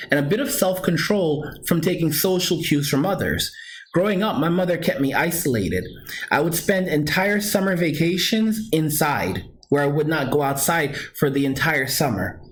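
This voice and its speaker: Earnest man with a high-ish voice. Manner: earnest, emphatic, repeats himself, talks straight to viewers; frequent restarts and self-corrections. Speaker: vlogger. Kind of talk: personal storytelling to camera.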